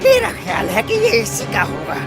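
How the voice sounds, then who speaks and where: A man voicing a grumbling, servile henchman with a creaky voice in a cartoon dub. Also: very high-pitched, huge pitch swings, moderate pace, over music, heavily acted. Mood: servile, weary. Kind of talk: Hindi cartoon dub acting.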